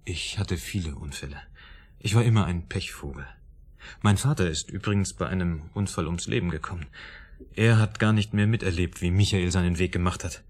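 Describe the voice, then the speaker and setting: Low-voiced, self-pitying failed writer. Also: male voice, a little fast, a little mellow. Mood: resigned. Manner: confessional, self-deprecating. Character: self-pitying unlucky failed writer, a man confessing in therapy. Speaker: therapy patient. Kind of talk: radio drama dialogue.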